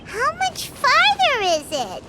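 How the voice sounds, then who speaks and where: A woman voicing a tiny, excitable, childlike fairy companion in a video game, with a squeaky voice. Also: slow pace, very noisy, exaggerated voice. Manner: refers to self in third person, exclaiming.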